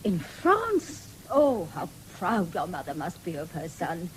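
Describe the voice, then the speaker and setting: Gushing genteel matron, swooping pitch. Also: female voice, huge pitch swings, takes it slowly, exaggerated voice, rough recording. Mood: gushing, earnest. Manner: effusive, pious, refined. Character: gushing, genteel, patriotic middle-aged wife and mother. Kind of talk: radio drama acting. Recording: 1970s radio broadcast with background noise.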